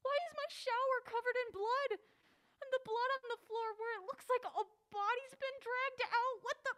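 A woman's voice: extremely high pitch, moderate pace, a bit bright. A woman playing an anxious, mild-mannered character in an audio drama, skeptical and easily exasperated. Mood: alarmed, exasperated. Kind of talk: naturalistic audio drama acting.

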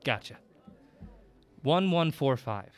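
A man playing a mild, curious young sidekick in an audio drama, gently wry and a little hesitant. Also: a little high, lively intonation, takes it slowly, slight background noise. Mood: inquisitive.

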